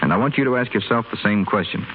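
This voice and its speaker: A man's voice: medium pitch, quick pace, lightly acted. An actor playing a spoiled, restless young rich man in a hospital, sardonic and wisecracking, in 1930s radio drama style. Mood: flippant. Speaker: hospital patient. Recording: tinny, faintly hissy 1930s radio broadcast.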